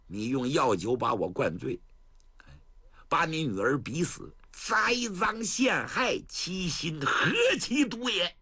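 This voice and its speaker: Brief: wronged marshal, fiery and accusing, high sharp delivery, performed by a man. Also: animated, takes it slowly, breathy edge, dry recording, full character voice. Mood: bitter, accusing. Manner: stern, accusing declamation. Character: wronged stern male marshal, bitter and fiery.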